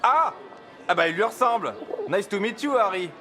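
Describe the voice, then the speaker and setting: A man's voice: sits high, animated, brisk, sharp tone. An actor playing a curious, friendly Frenchman in a video game, with a French accent. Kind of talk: dramatic video game dialogue.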